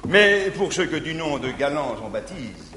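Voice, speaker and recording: A mocking middle-aged schemer, high lively voice, bright, old echoey theater tape. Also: male voice, a little slow, heavily acted. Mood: jovial. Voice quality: jovial, mocking, laughing often. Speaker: Arnolphe. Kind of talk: 1950s stage comedy in verse. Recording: old theater recording, echoey hall, faint hiss.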